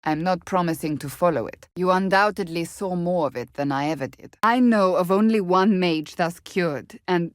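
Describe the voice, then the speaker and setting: A woman acting a serious warrior woman of faith from a fantasy video game. Her voice sits fairly low and is recorded dry. She speaks formally, in clipped, earnest phrases, with a stern reserve. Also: normal speed, few pauses, no room sound, close to an everyday voice. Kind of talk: scripted video game dialogue.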